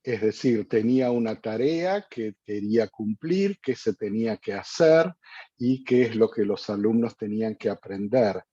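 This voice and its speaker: Slow, husky-voiced male host. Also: on the low side, expressive, mellow, no echo. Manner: measured, with frequent fillers. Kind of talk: radio interview hosting. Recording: dull dry lo-fi sound.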